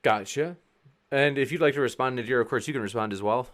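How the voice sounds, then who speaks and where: A man's voice: medium pitch, fast, fairly bright tone. A man co-moderating a debate, casually reading viewer questions and inviting replies.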